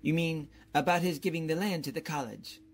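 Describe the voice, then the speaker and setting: A man's voice: a little high, brisk, slightly rough. A man playing a courtly, bumbling, genial college professor, polite and slightly pompous, in old-time radio comedy style. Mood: sheepish.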